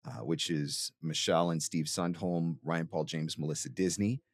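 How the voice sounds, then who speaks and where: Low-voiced man giving emphatic advice. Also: steady tone, normal speed, dry recording, clean studio sound. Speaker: host and voiceover coach. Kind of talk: conversational advice in an interview.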